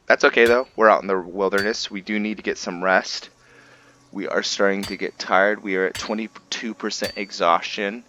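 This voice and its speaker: A man's voice: low-pitched, pushed and bright, lo-fi sound, slight background noise. A man hosting a video game roleplay, giving casual, informal commentary and explaining things as he plays.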